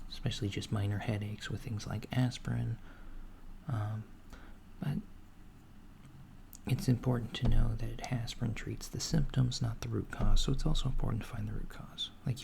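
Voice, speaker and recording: A man voicing a calm alien doctor in a soft-spoken, half-whispered ASMR roleplay, gently asking a human patient clinical questions. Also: fairly low pitch, gravelly, a little hiss or hum. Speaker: ASMR creator.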